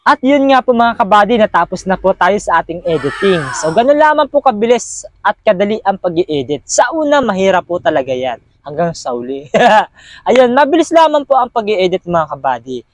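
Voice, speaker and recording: Guy walking thru a tutorial, high lively voice, chatty, repeats himself, dry close sound. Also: fairly quick, no echo. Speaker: host of video editing tutorial. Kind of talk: casual step-by-step tutorial narration.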